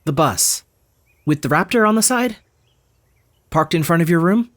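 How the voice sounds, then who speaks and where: An actress playing a polite, tactful motel clerk in an audio drama, chatty and measured. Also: female voice, fairly low pitch, expressive, no echo, close to an everyday voice. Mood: tactful, probing. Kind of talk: naturalistic audio drama acting.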